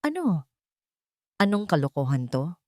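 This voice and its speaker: A woman's voice: on the low side, sing-song, measured pace, darkish tone, no room sound, studio quality. A woman voicing an arrogant, demanding millionaire husband, the villain of a story. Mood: shocked, angry.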